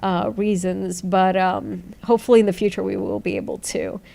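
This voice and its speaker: A woman who talks in a low tone, chatting casually and rambling through thanks to people in an interview. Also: fairly even intonation, moderate pace, creaky. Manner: low-toned, rambling, grateful shout-outs. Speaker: founder of a music site, interviewed.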